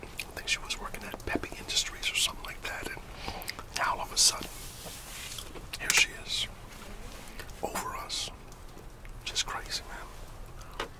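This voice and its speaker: A man's voice: a little slow, pauses often, far from the mic, natural voice, low-quality recording. A middle-aged man playing a disgruntled, gossipy office phone worker in an ASMR roleplay, murmuring casually and conspiratorially. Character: disgruntled, gossipy, laid-back long-time office phone worker, male.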